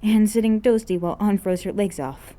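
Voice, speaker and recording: A woman's voice: mid-range voice, fairly quick, dark tone. A woman reading scripted fiction aloud as an amateur narrator. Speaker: amateur podfic reader.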